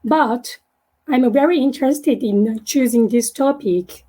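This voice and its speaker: A young woman with a Japanese accent, a native Japanese speaker, giving an academic presentation online and haltingly searching for words. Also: a little high, mellow. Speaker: guest speaker, graduate student. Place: online video meeting.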